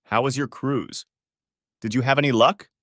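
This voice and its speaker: A man's voice: medium pitch, steady tone, normal speed, fairly bright tone, dry recording, studio quality, close to an everyday voice. Man as a sarcastic, wounded husband. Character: Steve, sarcastic, wounded husband needling his wife. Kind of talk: audiobook-style dramatic reading of dialogue.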